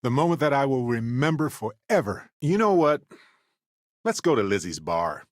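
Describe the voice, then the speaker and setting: Expressive, lively male space explorer played by an actor. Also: medium pitch, normal speed, dry recording, studio quality, lightly acted. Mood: upbeat. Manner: conversational, expressive, reflective. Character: warm, talkative male space explorer companion, earnest and wry. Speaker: video game voice actor. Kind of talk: video game companion dialogue lines.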